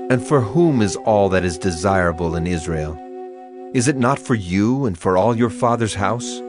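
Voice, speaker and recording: An actor playing an authoritative male prophet in a dramatized audio Bible, measured and declarative. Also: on the low side, animated, music in the background, lightly acted. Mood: grave, assured.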